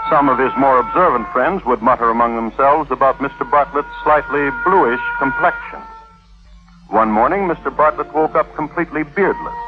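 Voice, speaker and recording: An actor as the wry, deadpan narrator of a 1950s radio crime drama, reading a script drily. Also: male voice, mid-range voice, lightly acted. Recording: tinny 1950s radio, music behind.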